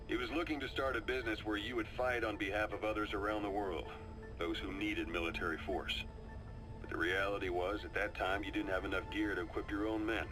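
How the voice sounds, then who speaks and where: Guy acting a calm seasoned military adviser from a video game, low voice, measured confiding briefing, music playing. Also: natural voice. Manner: measured, confiding, storytelling delivery.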